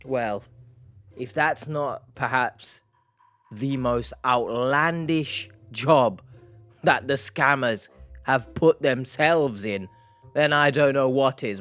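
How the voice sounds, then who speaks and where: A man hosting a reaction video, talking through a clip with animated, exasperated commentary that mixes sarcasm and sympathy. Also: medium pitch. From England. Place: indoor room under lights.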